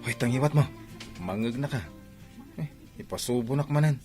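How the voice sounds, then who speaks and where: A man's voice: medium pitch, moderate pace, music in the background, natural voice. A man with an Ilocano accent playing a sly young student who sweet-talks, in a radio drama style. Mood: sly, brash. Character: sly young student sweet-talking his older girlfriend. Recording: faint background noise.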